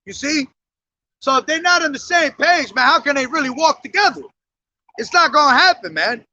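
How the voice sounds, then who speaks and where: A man street preaching who reads scripture slowly and drives his points home, calling his listeners "man", his voice hoarse from days of yelling. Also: very high voice, lively intonation, highs cut off, slight background noise. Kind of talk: street sermon with scripture reading. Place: outdoors on a street.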